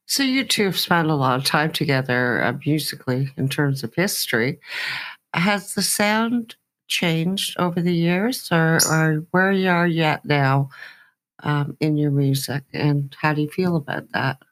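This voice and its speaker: A woman's voice: deep voice, measured pace. Woman hosting an indie music show. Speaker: radio show host and indie music reviewer. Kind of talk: enthusiastic music interview chat.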